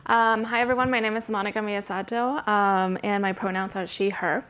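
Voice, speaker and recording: A woman's voice: medium pitch, steady tone, fairly quick, fairly bright tone. Woman introducing herself. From Peru. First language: Spanish. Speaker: program manager. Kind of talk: panel introduction.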